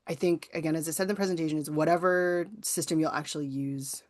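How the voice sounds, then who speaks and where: A woman's voice: deep voice, steady tone, fast. A woman guest author answering audience questions informally, in a thoughtful, hedging, conversational way. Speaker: guest author and workshop presenter.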